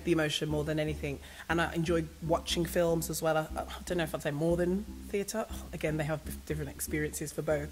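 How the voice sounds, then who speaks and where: Quick-talking woman host, powerful voice. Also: low-pitched, steady tone. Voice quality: powerful, carrying voice. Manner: reflective, thinking aloud. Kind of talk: casual panel chat.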